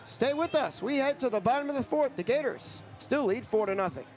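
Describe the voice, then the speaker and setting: A man's voice: very high-pitched, lively intonation, brisk, faint music behind. A young man doing sports broadcast commentary as a play-by-play announcer and reporter. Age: young adult.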